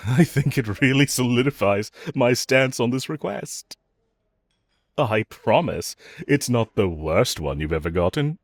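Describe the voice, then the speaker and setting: A man playing a smug, flirtatious villain in an audio roleplay, teasing and coaxing the listener with self-assured, drawn-out phrasing. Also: medium pitch, no room sound, clean studio sound.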